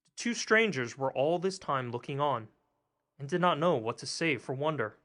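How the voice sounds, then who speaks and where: A man narrating a fairy tale as an audiobook, in a measured, steady reading. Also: a little high. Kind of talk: scripted storybook narration.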